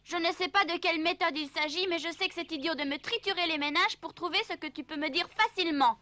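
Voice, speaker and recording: A cheeky wooden puppet boy from a cartoon. The voice is extremely high and childlike. He is whiny and bossy, a lazy, stubborn little thing. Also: fast talker, sharp tone. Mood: wheedling, demanding. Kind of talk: dubbed cartoon acting.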